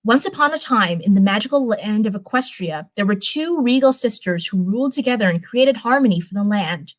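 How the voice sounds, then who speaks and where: A woman's voice: mid-range voice, expressive, fairly quick, low-quality recording. A young woman hosting a livestream, moving between reading a story aloud and rambling, informal chat in which she often corrects herself. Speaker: livestream host reading fan fiction.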